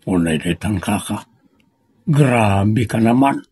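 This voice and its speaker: An actor playing a grumbling, defensive old husband in an audio comedy drama, with drawn-out scolding retorts. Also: male voice, on the low side, lively intonation, darkish tone, breathy edge, no echo, heavily acted. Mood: annoyed. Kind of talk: comedy radio-style drama acting.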